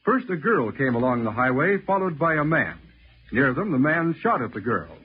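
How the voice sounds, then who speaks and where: A man's voice: medium pitch, very animated, normal speed, slightly rough. A man with an American accent reading scripted narration in a dramatic, clipped 1940s radio announcer style. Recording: thin, phone-like old radio recording.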